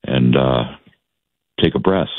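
A man in his sixties from the South Shore of Boston with a Boston accent, talking politics as a guest in a halting way, with many fillers and restarts. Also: rumbling low, no echo. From Massachusetts, South Shore of Boston. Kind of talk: political talk interview. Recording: dry, phone-like line.

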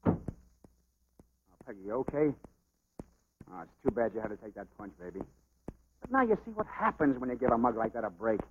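An actor playing a cocky, jealous American showbiz dancer, sneering and slangy, in an old film drama. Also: male voice, mid-range voice, very animated, fairly quick, long pauses, narrow, tinny audio, background noise, close to an everyday voice. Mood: accusing.

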